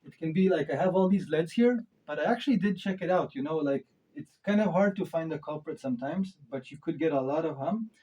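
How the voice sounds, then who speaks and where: A man's voice: on the high side, expressive, a little fast, few pauses, dark tone, husky, low-quality recording. A man giving a casual hands-on gear review, thinking aloud in an informal way with emphatic stresses. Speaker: reviewer of modular synth gear.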